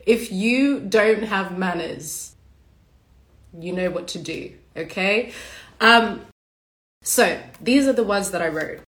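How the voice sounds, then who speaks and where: A woman hosting a casual livestream monologue, hoarse and raspy from a cold, talking passionately and bluntly with casual swearing. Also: medium pitch, takes it slowly, long pauses, fairly bright tone, roomy sound. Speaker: podcast host.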